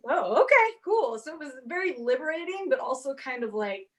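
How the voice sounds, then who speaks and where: A woman in her forties speaks on a convention panel, her first language being Portuguese. She tells her story with energy and acts out the conversations she quotes. Her voice sits a little high for a woman. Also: very animated, a little fast. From half Brazilian, grew up speaking Portuguese. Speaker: panelist author. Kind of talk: casual panel talk.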